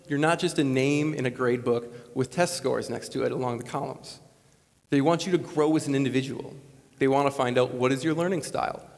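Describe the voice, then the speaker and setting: A man's voice: medium pitch, vocal fry. A man in his late twenties from Wisconsin giving an earnest, conversational commencement speech on a lapel mic. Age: late 20s. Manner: earnest, conversational, animated gestures. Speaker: commencement speaker. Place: large school gymnasium, on stage. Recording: long gym reverb.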